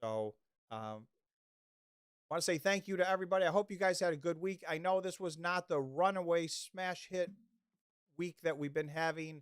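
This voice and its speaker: A man's voice: fairly high pitch, normal speed, clean tone, no room sound, studio quality. A man hosting a livestream in casual chat, rambling with many restarts and losing his voice. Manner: rambling, restarts often, reads chat comments. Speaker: livestream host.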